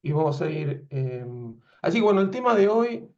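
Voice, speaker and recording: A man's voice: fairly high pitch, big pitch swings, normal speed, lo-fi sound. A man in his forties from Argentina with an Argentine accent, giving a veterinary imaging lecture over a video call, hesitant with many fillers and restarts. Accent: Argentine Spanish. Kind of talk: webinar lecture.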